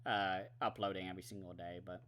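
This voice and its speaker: A man's voice: low-pitched, flat delivery, fast, fairly bright tone, slight background noise. Casual, rambling man with a dry voice. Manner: rambling, frequent fillers and casual swearing. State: dry throat, losing his voice. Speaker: movie vlogger. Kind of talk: casual monthly wrap-up chat.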